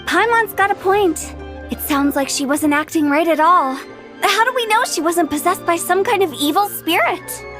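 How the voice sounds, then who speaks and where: A woman's voice: extremely high pitch, lively intonation, normal speed, fairly bright tone, soft background music. A woman playing a mischievous, imaginative teenage girl in a video game, playful and theatrical. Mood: speculative. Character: mischievous, imaginative teenage girl who loves ghost stories. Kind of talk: animated video game acting.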